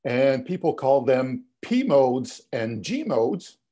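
Older male lecturer on a video call, warm and slightly raspy, patient explainer, voice fading. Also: mid-range voice, sing-song, slow. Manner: explanatory, many "you know" fillers and restarts. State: losing his voice, coughing. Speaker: physics lecturer. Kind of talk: webinar lecture over slides. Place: home office, indoors.

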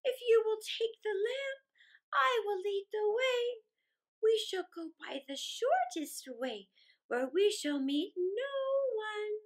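Genteel female crow for a children's tale, woman reading: mannered, high and swooping, unhurried. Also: big pitch swings, full character voice. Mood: courtly, gracious. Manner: mannered, drawn-out, formal. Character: genteel tame female crow, formal and courtly. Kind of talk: children's storybook character voice.

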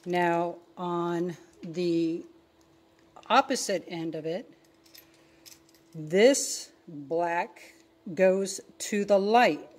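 A woman's voice: lowish voice, extremely slow, pauses often. A middle-aged woman giving a casual, plainspoken, step-by-step tutorial as a DIY video host. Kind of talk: casual hands-on tutorial narration.

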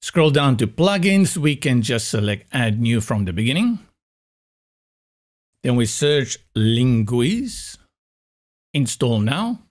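An upbeat man in his forties whose mother tongue is Afrikaans walks viewers through a software setup. He speaks close to a large studio microphone in a small room with blinds, at a plain, mid pitch. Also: big pitch swings, slow pace, pauses often. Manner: upbeat, enthusiastic explainer. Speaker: web tutorial host. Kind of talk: screen-recorded software tutorial. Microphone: large studio mic in front of face.

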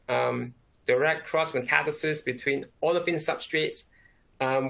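Man in his thirties, scientist presenting over webcam; gravelly, halting with fillers. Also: fairly high pitch, a bit bright. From Singapore. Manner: measured, many "um" fillers. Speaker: chemist giving a symposium talk. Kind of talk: recorded academic talk. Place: indoor office.

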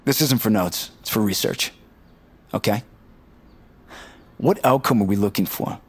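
An actor playing an eager, cocky, talkative tech strategist in a naturalistic audio drama. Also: male voice, lowish voice, measured pace, husky. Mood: confident. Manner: talkative, boastful, informal. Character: eager, cocky, nerdy male tech strategist, chatty roommate.

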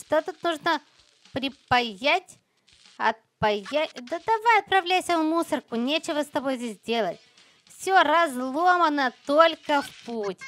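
High-voiced woman, chirpy kids' game host. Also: fairly bright tone. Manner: chirpy, exclamatory, playful diminutives. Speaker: host of a children's gaming channel. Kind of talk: casual game commentary aimed at young children.

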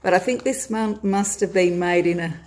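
A woman's voice: medium pitch, normal speed, a little mellow, a little hiss or hum. A middle-aged Australian woman at home, chatting casually as she films a grocery haul from above on her phone, with plenty of "uh" fillers. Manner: friendly, chatty, with frequent "uh" fillers. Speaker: vlogger. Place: home, indoors, at a table of groceries.

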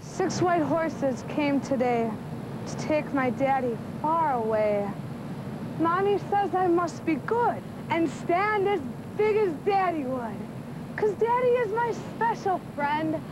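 A woman's voice: lively intonation, exaggerated voice. A sixteen-year-old young woman playing a brave, grieving little boy in a plaintive dramatic verse monologue. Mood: brave, subdued. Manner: slow, plaintive recitation. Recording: noisy outdoor camera recording with rumble.

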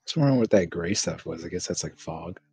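A man's voice: sits low, lively intonation, fairly quick, darkish tone. A man chatting in a casual, slangy way while joining a game dub with friends. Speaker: fandub performer.

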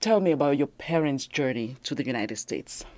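A middle-aged woman hosting an interview in a radio studio, speaking on a boom mic and encouraging her guest with frequent affirmations. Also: animated, moderate pace, mellow, dry recording. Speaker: interview host. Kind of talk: casual interview talk.

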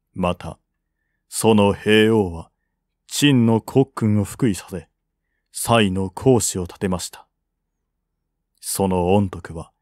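A man's voice: low voice, a little mellow, no echo, studio quality. A man voicing a grave, persuasive court strategist with courtly manners in an audiobook. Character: solemn, persuasive male strategist of ancient China. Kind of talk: audiobook character reading.

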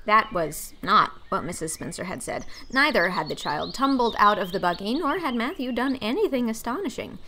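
A woman's voice: mid-range voice, a bit bright. A young adult woman reading scripted story narration as an audiobook narrator.

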